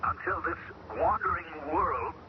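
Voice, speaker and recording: An eerie, calmly possessed man. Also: sits high. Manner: calm, measured, searching for words. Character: eerie man, taken over by an alien mind, calmly strange. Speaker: radio drama actor. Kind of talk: 1970s radio drama acting. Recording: dull old radio broadcast with loud hiss.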